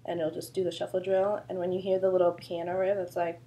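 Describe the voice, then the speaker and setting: A woman's voice: fairly low pitch, little pitch movement, quick pace, dark tone, rough recording. A woman choreographer with a sore throat, explaining a dance routine in a chatty, loose way full of fillers. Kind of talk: casual dance instruction video.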